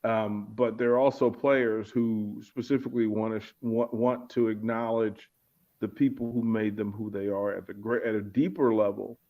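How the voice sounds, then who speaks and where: A man with a somewhat low voice, talking in an easy, reflective way as a guest on a talk show. He often circles back and repeats words while he finds his phrasing. The sound is dull and muffled, like a lo-fi recording. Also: steady tone, normal speed. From Arlington, Virginia. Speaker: guest author and speaker. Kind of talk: casual interview chat. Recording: muffled lo-fi audio.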